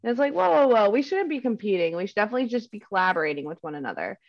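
A woman's voice: medium pitch, big pitch swings, very fast, dry recording, dull audio. A young woman chatting earnestly and eagerly, often saying "um" and "like". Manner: earnest and enthusiastic, with many "um"s and "like"s. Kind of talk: casual podcast chat.

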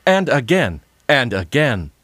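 A man's voice: medium pitch, very animated, takes it slowly, a bit bright, no room sound, exaggerated voice. An actor playing an over-eager, theatrical narrator of witch tales in an audio drama. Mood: grand, ominous. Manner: grandly dramatic storyteller, turning awkward. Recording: music underneath.

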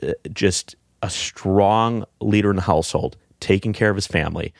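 Deep-voiced man, low and dry. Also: vocal fry, dry recording. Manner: reflective, halting, many fillers and restarts. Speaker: podcast guest. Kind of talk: casual heartfelt conversation.

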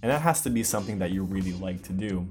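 A man from the United States hosting a casual language-learning podcast, explaining things patiently with clear examples. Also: deep voice, expressive, quick pace, darkish tone, faint music behind. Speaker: podcast host. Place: lives in Brazil.

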